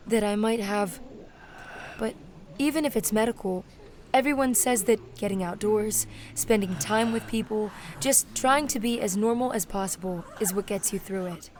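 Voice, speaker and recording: A woman's voice: medium pitch, moderate pace, darkish tone, natural voice. A woman playing a withdrawn, anxious teenage girl in an audio drama. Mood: subdued, doubtful.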